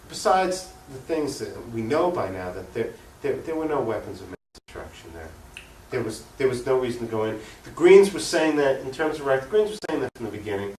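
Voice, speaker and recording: A man's voice: medium pitch, a little mellow. An opinionated man making an unscripted political argument as an interview guest. He speaks emphatically and leans on rhetorical questions. The recording is roomy and echoey, picked up at a distance from the mic, with faint noise. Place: room recording for a cable access show.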